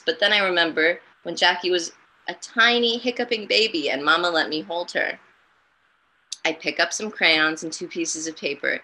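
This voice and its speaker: A woman reading a children's storybook aloud and commenting in a gentle, encouraging way. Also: fairly low pitch, rough recording. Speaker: storytime reader and host.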